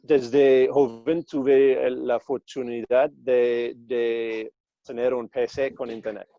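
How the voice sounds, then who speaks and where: A man in his thirties from Australia, speaking Spanish as a second language with an accent between Australian and American, answering interview questions haltingly with many fillers. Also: medium pitch, flat delivery, no room sound, lo-fi sound. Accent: Australian-American English speaker of Spanish. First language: English. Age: 30s. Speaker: guest, entrepreneur.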